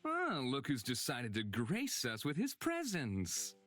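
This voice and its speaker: A man's voice: mid-range voice, huge pitch swings, slow, a bit bright, faint music behind, full character voice. A man voicing a smug, flippant bounty hunter in a visual novel, sardonic and playful with a drawl. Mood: smug teasing.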